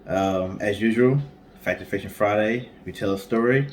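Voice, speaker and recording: Male co-host, lowish pitch, informal storyteller, conversational delivery, light background noise. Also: moderate pace. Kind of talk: casual storytelling.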